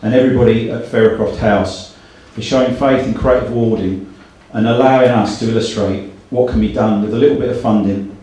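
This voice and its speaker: A man's voice: lowish voice, steady tone, fairly quick, hardly any pauses, mellow. A middle-aged man hosting an event, giving a thank-you speech and reading out names in a hall. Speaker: event host and company director. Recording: very echoey hall, distant from microphone.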